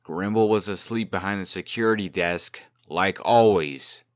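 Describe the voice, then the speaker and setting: Male actor as young fairy-tale trickster, cocky, glib, defensive, lowish voice, comic dub drama, dry close sound. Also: normal speed, no room sound, close to an everyday voice.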